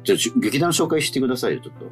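A man's voice: mid-range voice, lively intonation, rapid-fire, soft background music. A man hosting a radio show, reading listener letters aloud and chatting with guests.

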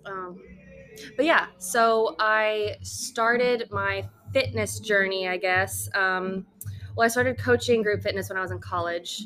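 A woman's voice: mid-range voice, steady tone, slow, fairly bright tone, soft background music. A young woman from Houston, a yoga instructor guesting on a podcast, dry and teasing with a soothing voice. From Houston, Texas. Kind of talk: casual podcast chat.

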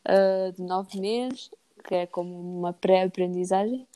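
Mellow European Portuguese woman. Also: lowish voice, measured pace, rough recording. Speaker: podcast guest. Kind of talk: casual conversational interview answers.

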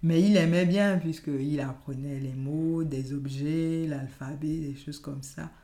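Podcast guest: woman from Benin, first language Yoruba, dark mellow tone, reflective and halting, echoey room. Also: deep voice, steady tone. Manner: hesitant, many fillers and restarts, thoughtful. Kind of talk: conversational interview.

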